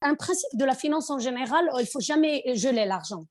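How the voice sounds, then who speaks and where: A woman from Morocco with a Moroccan accent, a podcast guest talking conversationally and haltingly, searching for words and slipping in English. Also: sits high, lively intonation. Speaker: podcast guest, finance executive. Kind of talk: conversational interview.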